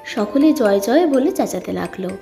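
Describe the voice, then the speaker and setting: High-voiced woman storyteller over music. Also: expressive, moderate pace, vocal fry. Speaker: story narrator. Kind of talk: expressive scripted story narration. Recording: loud music behind the narration.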